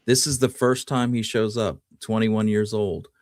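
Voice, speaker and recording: A man's voice: lowish voice, fairly even intonation, a little slow. A man co-hosting a history podcast, talking casually and musing his way through the details. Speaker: podcast co-host.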